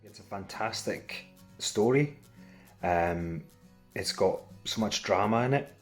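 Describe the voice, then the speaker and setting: A man with a deep voice talks casually as an actor being interviewed. Gentle music sits underneath. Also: lively intonation, slow pace.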